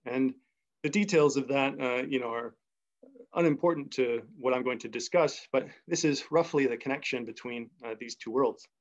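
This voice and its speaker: Young male lecturer on a video call, mid-pitched, unhurried and thinking aloud, slightly muffled audio. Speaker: seminar speaker. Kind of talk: academic math talk over video call.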